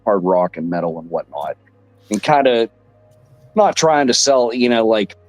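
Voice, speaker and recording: A hoarse man with a low voice, a rock singer appearing as a guest, rambling in a relaxed way with plenty of fillers. The sound is close and dry, with faint music underneath. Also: measured pace, dry recording. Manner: laid-back, filler-heavy, rambling. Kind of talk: casual chat.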